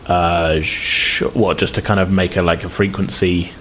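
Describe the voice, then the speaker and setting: A man's voice: deep voice, flat delivery, measured pace, fairly bright tone, slight background noise, poor audio. A man running a tabletop game as game master, narrating loosely with lots of hedges and fillers. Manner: hedging, filler-heavy, easygoing.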